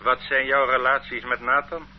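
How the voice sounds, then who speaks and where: A man's voice: mid-range voice, flat delivery, normal speed, close to an everyday voice. Brief: male actor as a condescending, threatening state interrogator, old radio play, muffled sound. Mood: stern. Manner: bullying, condescending. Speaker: official interrogating a suspect. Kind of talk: radio drama acting. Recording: dull old radio recording with faint hum.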